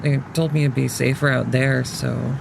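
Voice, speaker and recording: A man's voice: medium pitch, level tone, normal speed, creaky, background noise. A man playing a guarded, evasive young woman in an audio drama, hesitant and polite in the way of talking.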